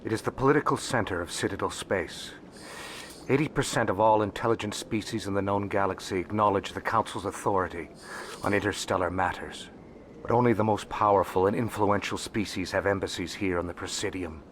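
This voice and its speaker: An actor playing a shrewd, wealthy alien information broker in a video game, speaking in a calm, formal, measured way. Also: male voice, on the low side, a little hiss or hum, lightly acted. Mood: admiring, polished. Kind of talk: video game dialogue acting. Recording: music behind the voice.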